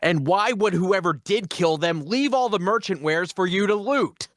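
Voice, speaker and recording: A man playing a skeptical, mocking lawman adventurer in a dramatic table read, questioning in a sardonic, deliberate way. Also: high voice, a bit bright, no echo, clean studio sound.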